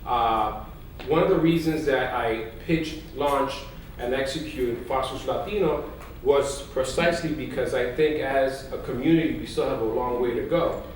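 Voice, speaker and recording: A man from Puerto Rico, a news executive on a conference panel, telling energetic stories and dropping in Spanish phrases. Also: medium pitch, moderate pace. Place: large conference hall. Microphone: distant mic in an echoey hall. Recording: echoey hall recording from far off, faint noise.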